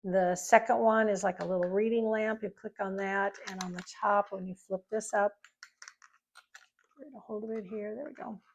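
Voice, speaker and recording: A woman with noticeable creak in her voice walks through a product demonstration. She sounds informal and explanatory, rambling a little from point to point at an average pitch for a woman. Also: fairly even intonation, moderate pace, lo-fi sound, a little hiss or hum. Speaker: product reviewer. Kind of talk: casual product review demonstration.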